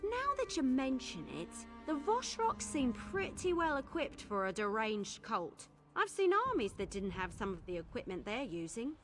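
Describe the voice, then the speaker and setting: A wry, confident adventuring scout, with a fairly high voice and very lively intonation. She sounds like a stubborn game companion, with music playing behind her. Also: normal speed, hardly any pauses. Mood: wary, conversational. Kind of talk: video game character acting.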